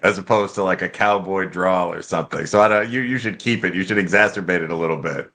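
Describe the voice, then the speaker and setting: Man, deep voice, bright tone, offhand, confident, casual chat. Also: quick pace. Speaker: show co-worker.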